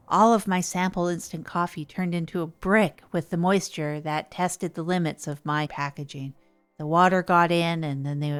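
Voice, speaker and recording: A woman's voice: lowish voice, fairly bright tone, clear, resonant tone. A woman playing an earnest, hapless amateur coffee maker, with a hesitant, rambling manner, in an improvised comedy audio drama. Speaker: podcast narrator.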